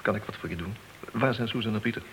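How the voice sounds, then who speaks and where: An actor playing a remorseful, earnest police officer in a radio play, apologetic and halting. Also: male voice, fairly low pitch, fairly bright tone, slight background noise, lightly acted, rough recording. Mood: remorseful, pleading.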